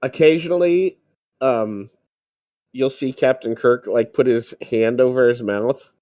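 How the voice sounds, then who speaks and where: A man chatting casually as a podcast co-host, explaining things in a halting way. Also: medium pitch, warm tone, no echo, clean studio sound. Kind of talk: casual film commentary chat.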